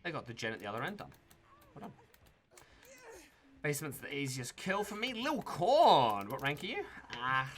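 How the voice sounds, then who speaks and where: A man's voice: fairly high pitch, moderate pace, pauses often. A young Australian man giving casual commentary over his own gameplay, talking to himself and the players and swearing now and then. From Tasmania, Australia. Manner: relaxed, talks to himself and the players, mild swearing. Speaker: gaming YouTuber.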